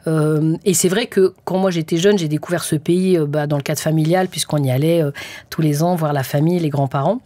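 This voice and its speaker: A woman's voice: low voice, fairly even intonation, fairly quick, warm tone. A woman in her forties from France, a guest conductor answering interview questions in an earnest, hesitant way with many fillers. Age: 40s. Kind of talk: casual interview talk.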